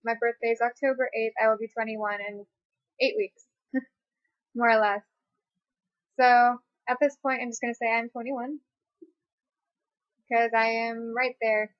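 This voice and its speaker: A woman's voice: fairly high pitch, flat delivery, unhurried, long pauses, no echo. Chatty young woman on lo-fi webcam. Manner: rambling, chatty, many fillers and restarts. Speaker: YouTuber. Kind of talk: casual facecam Q&A. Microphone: webcam mic. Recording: dry, dull lo-fi webcam audio.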